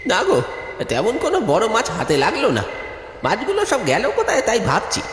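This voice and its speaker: A man's voice: high voice, huge pitch swings, moderate pace, fairly bright tone, slight background noise, voice pushed up, heavily acted. A man voicing a worried, inquisitive young village fisherman who wheedles and pleads in a cartoon. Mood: worried, plaintive. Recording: echoey reverb with music behind.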